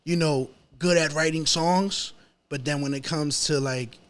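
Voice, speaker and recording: A man's voice: on the high side, fairly even intonation, unhurried, a bit bright. A man, a rapper chatting casually and informally as a guest, with plenty of slang. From New York City, Manhattan. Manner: slangy, informal, often swearing. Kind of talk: casual chat.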